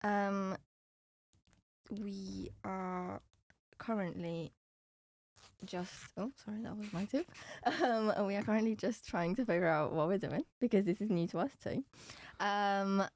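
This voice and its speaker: A woman's voice: medium pitch, unhurried, darkish tone, dry recording. A young woman with a Romanian accent, chatting casually as a student podcast co-host. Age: young adult. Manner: giggly, laughs often.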